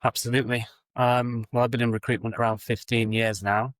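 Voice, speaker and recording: A man, a recruitment founder answering interview questions over a video call in a relaxed, steady way with frequent ums. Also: mid-range voice, brisk, no room sound. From England.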